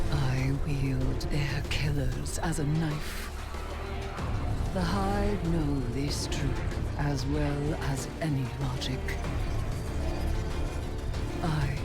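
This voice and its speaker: An actress playing a solemn, resolute woman devoted to a dark creed in a video game, speaking gravely and deliberately, as if chanting doctrine. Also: low voice. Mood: cold defiance. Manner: grave, deliberate, incantatory delivery. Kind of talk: dramatic video game monologue. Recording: loud music behind the voice. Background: loud game music.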